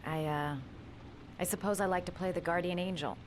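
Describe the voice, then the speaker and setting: Over clearly noisy ambience, an actress plays a guarded but caring young woman in a game drama. Her voice sits low, and she talks gently, with hesitant little stops. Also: steady tone, fairly quick, close to an everyday voice. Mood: gentle, concerned. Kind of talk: cinematic video game acting.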